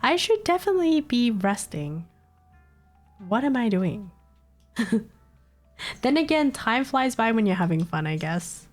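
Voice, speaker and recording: A woman's voice: fairly high pitch, sing-song, a little slow, pauses often, faint music behind. A woman streamer chatting casually late at night, a little nasal from a cold, rambling and laughing often. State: recovering from a cold, slightly nasal.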